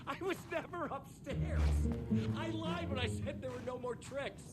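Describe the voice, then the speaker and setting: Theatrical, high-pitched biker villain. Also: male voice, lively intonation, normal speed, loud music behind the voice. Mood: sly teasing. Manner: theatrical, taunting. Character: mocking, insecure semi-evil twin brother, too-cool biker villain. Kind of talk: campy video game dialogue.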